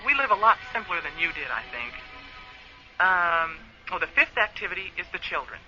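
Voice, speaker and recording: A woman's voice: fairly low pitch, sing-song, normal speed, sharp tone, full character voice. A woman playing a friendly, candid space communications officer in a radio play, chatty and a little apologetic over a radio link. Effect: radio transmission filter. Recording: dull band-limited radio link, music behind.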